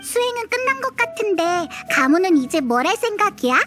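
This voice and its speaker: Squeaky, chirpy little fairy companion. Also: female voice, sing-song, brisk. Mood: cheerful, curious. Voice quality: childlike, squeaky. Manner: exclamatory, playful, informal. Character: tiny chirpy floating fairy companion, blunt, curious, excitable. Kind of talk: video game voice acting. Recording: music playing behind the voice.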